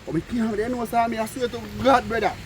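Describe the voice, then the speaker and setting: A Jamaican man telling stories and bantering casually with friends, in an animated, argumentative way, repeating phrases for emphasis. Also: extremely high pitch, fast talker. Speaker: storyteller among friends. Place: outdoors. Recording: noisy outdoor recording with street sounds.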